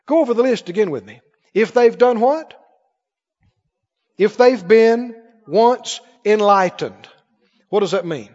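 High-voiced preacher in an echoing hall. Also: male voice, expressive, measured pace, long pauses, darkish tone. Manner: emphatic, quizzing the congregation with short questions. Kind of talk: sermon, Bible teaching. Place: large church hall, before a congregation. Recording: muffled, echoing large hall.

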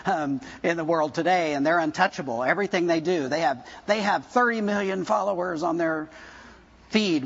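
A man's voice: on the high side, slightly rough, highs cut off. A man in his fifties preaching a sermon to his church, talking casually, joking and asking the congregation questions. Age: 50s. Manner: conversational, jokey, asks the congregation questions. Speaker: pastor.

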